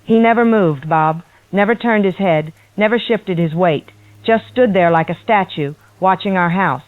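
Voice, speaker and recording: A woman's voice: lowish voice, moderate pace, no echo. A woman playing an unnerved, insistent eyewitness calling a radio show over a telephone line. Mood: uneasy. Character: unnerved married woman, watchful eyewitness, resolved to flee. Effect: telephone line filter. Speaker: radio phone-in caller. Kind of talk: radio horror drama. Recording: tinny narrow phone line.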